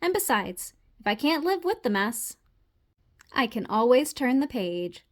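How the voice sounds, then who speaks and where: A woman's voice: a little high, sing-song, a little slow. A woman voicing a fussy, easily panicked neat-freak male frog in a theatrical, indignant manner for a children's storybook. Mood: contented. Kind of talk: children's storybook read-aloud.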